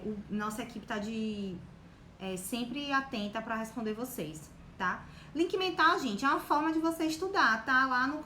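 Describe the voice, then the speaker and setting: A young woman with a Bahian accent speaks in a fairly high voice, encouraging and chatty, checking in with her listeners again and again. She streams live from an echoey room. Faint noise sits under her voice. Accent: Bahian Portuguese. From Bahia, Brazil. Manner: warm, encouraging, full of fillers like "né" and "tá". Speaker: guest on a live stream about exam preparation. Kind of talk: casual motivational talk to a live audience.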